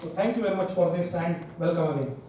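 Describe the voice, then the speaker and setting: A man's voice: fairly high pitch, fast, very noisy. A middle-aged man, the organizer, opening a symposium in a large lecture hall, speaking in a hesitant way and repeating words. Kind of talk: welcome speech at a conference. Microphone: far camera. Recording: echoey lecture hall from far off.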